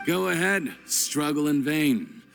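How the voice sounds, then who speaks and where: A man's voice: fairly high pitch, slow, vocal fry, fairly bright tone, soft background music, full character voice. A man playing a ruthless criminal villain in a video game, with a mocking, theatrical and menacing delivery. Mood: cold, scornful. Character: ruthless, sneering male criminal fugitive villain. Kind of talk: scripted video game voice acting.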